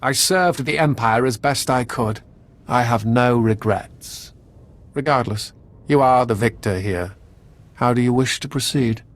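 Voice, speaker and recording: An actor playing a dutiful, composed Imperial spymaster in a video game, speaking in a formal, measured, courteous manner. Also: male voice, medium pitch, natural voice. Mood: gracious in defeat.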